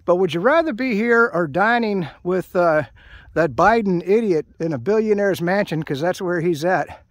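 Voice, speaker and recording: An older American man chatting casually outdoors as a hiking vlogger, in a rambling, halting way. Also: high-pitched, expressive, no room sound. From United States. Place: outdoors at a trailhead by open fields. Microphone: handheld camera held by speaker.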